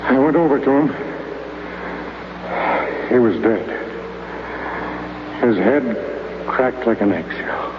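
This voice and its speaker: A man's voice: mid-range voice, lively intonation, slow pace, long pauses, background noise. An actor playing a world-weary old fat man who narrates his own story in a 1950s radio drama, hard-boiled and wry. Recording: muffled old radio broadcast with music.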